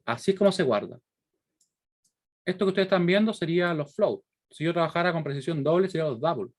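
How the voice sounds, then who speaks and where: A male university lecturer with a Chilean accent and a slightly high-pitched voice. He explains in a didactic way, thinking through worked examples aloud. He keeps stopping to put short check-in questions to his students. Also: expressive, quick pace.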